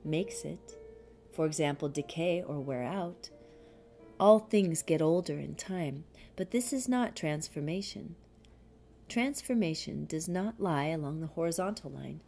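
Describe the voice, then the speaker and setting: A woman reading a spiritual commentary as an audiobook, in a measured, deliberate manner with frequent pauses. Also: lowish voice, mellow.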